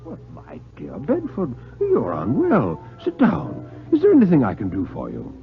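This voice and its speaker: A man voicing a composed, courteous titled gentleman investigator, formal and measured, in a radio thriller reading. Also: fairly high pitch, huge pitch swings, vocal fry, soft background music, voice pushed up, heavily acted. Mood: smooth concern. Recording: lo-fi 1950s radio recording.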